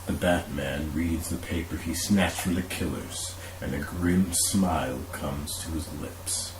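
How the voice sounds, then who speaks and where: A man's voice: rumbling low, unhurried. A man giving dramatic scripted narration as the narrator of a radio play. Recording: roomy echo, faint hiss.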